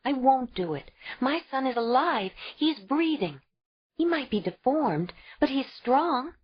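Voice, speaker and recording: A woman's voice: high voice, animated, measured pace, husky, pitched above the performer's own voice, full character voice. A woman voicing a fierce young cave woman, a new mother pleading tearfully and refusing to give up her baby. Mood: fierce defiance. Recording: dull lo-fi audio.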